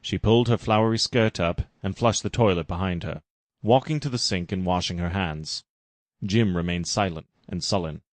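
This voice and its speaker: Low-pitched man reading a story, dry recording. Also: normal speed, no echo. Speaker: reader. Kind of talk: scripted narration of a parody story.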